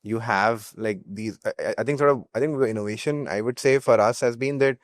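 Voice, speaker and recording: A man's voice: mid-range voice, a little fast, dry recording. Explanatory young Indian man, dry sound. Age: young adult. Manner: explanatory, reasons through hypothetical examples. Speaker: startup founder, guest. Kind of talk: business interview answers.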